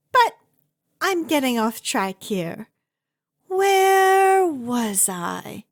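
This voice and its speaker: Woman acting a slow, lilting, doting yandere kidnapper. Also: high-pitched, full character voice. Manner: sweetly chatty, rambling, hesitant asides. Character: obsessive, lovestruck young woman kidnapper, doting and possessive. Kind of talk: ASMR roleplay addressed to the listener.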